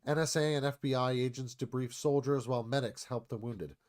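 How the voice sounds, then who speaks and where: A man's voice: mid-range voice, a little fast, darkish tone. A man reading screenplay stage directions aloud as scripted narration.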